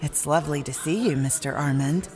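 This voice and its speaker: A woman's voice: low voice, brisk, a little mellow, soft background music, close to an everyday voice, poor audio. A woman playing a gracious, curious visitor, polite and friendly, in an audio drama. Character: gracious, curious, warm-mannered female insurance visitor.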